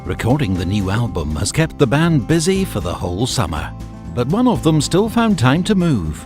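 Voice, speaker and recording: Lively male documentary narrator. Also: mid-range voice, normal speed. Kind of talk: scripted narration. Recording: music behind the voice.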